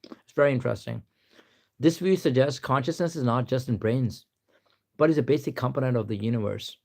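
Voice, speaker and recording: A man's voice: mid-range voice, fairly quick, creaky, darkish tone. A young man from Pakistan with a Pakistani accent, chatting casually on a live stream, rambling and reading answers aloud. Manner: rambling, reads answers aloud, says "to be honest" a lot.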